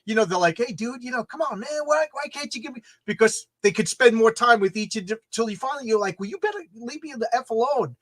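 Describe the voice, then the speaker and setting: A man's voice: very high voice, lively intonation, quick pace, few pauses, a bit bright, dry recording. A middle-aged man with a New York accent, hosting a casual chat and telling stories with many stammered restarts. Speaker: host. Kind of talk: casual conversation.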